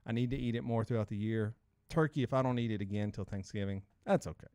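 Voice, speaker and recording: A man co-hosting a podcast, chatting casually and teasing his co-host with animated outbursts. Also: fairly low pitch, quick pace, clear, resonant tone, no room sound, studio quality. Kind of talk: casual chat.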